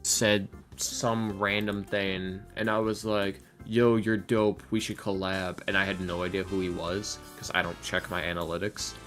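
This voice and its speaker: Low-voiced young man chatting casually. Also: level tone, a little slow, few pauses. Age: early 20s. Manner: rambling, informal and slangy. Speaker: interview guest, ASMR roleplay creator and voice actor. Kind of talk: casual podcast chat. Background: soft guitar music.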